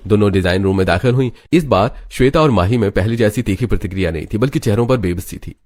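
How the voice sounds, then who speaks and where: Low-voiced male story narrator. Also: fast talker, a little mellow. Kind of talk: scripted narration of a cartoon family drama.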